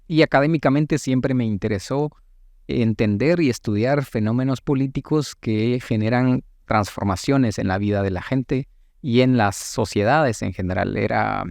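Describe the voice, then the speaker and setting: A Guatemalan man in his late thirties giving thoughtful, halting answers as a politician being interviewed, with formal wording. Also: medium pitch, gravelly, a little mellow, dry recording, studio quality. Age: late 30s. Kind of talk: reflective interview talk.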